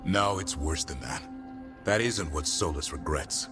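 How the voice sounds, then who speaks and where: A very deep-voiced, grim warrior in a fantasy game. He lays things out gravely and steadily, with music clearly playing behind him. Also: measured pace. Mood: solemn. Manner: grave, explanatory. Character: grim, seasoned male warrior, compassionate and measured. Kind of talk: video game cutscene acting.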